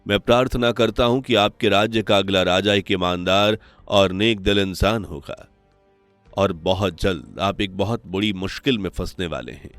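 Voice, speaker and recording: Man acting solemn, low-voiced old holy man. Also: moderate pace, a bit bright, dry recording, faint music behind. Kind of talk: animated moral story voice acting.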